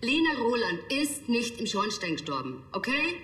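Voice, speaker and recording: An actress playing a sardonic, self-assured forensic pathologist in a TV crime comedy. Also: female voice, on the high side, sing-song, normal speed, a bit bright, slight background noise. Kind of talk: naturalistic TV drama acting. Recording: reverberant hall, faint music.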